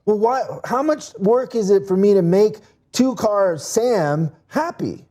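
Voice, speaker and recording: A man's voice: high voice, animated, a little slow, dark tone. A man who grew up in Mexico, a podcast guest talking business in an earnest, casual way and often restarting his words. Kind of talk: casual interview talk.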